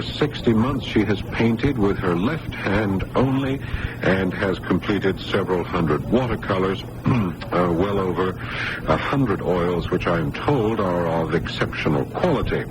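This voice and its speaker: A man playing an earnest, concerned hospital doctor in a 1980s radio drama, speaking in a hesitant, measured and reassuring way. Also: deep voice. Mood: measured, reflective. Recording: noisy old radio broadcast recording.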